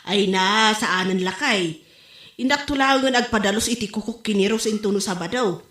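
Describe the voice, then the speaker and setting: A woman's voice: mid-range voice, moderate pace, fairly bright tone, natural voice. A woman playing a chatty, vain wife and mother with an Ilocano accent, bantering expressively in a comedy drama. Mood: resigned, insistent. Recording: roomy, echoey home recording.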